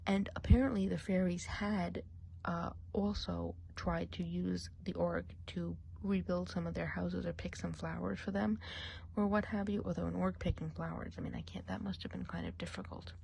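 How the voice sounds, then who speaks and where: A woman's voice: lowish voice, flat delivery, moderate pace, gravelly, slightly rough, full character voice. A woman playing a gossipy female forest witch in an ASMR roleplay, whispering and murmuring as she chats on. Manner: gossipy, mixes soft speech and whispers.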